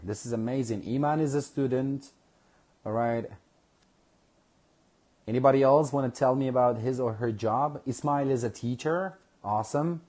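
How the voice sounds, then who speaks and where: A man teaching a live online English lesson, speaking slowly and repeating phrases for his learners. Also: medium pitch, darkish tone. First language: Kurdish.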